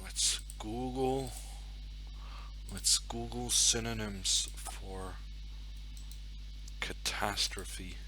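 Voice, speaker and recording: Slow, bright-voiced Norwegian streamer. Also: male voice, lowish voice, slight background noise. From Norway. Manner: chatty, thinking aloud, muttering to himself. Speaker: chess streamer. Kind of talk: casual live-stream chat.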